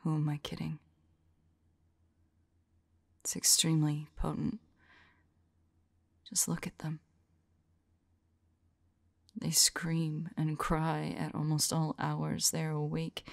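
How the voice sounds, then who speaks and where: A woman playing a weary, devoted vampire lover in an ASMR audio roleplay, speaking softly and haltingly in a breathy, whispery voice. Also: sits low, no echo, full character voice. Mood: worried, self-doubting. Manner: gentle, halting, hushed delivery. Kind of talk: ASMR audio roleplay, soft intimate acting.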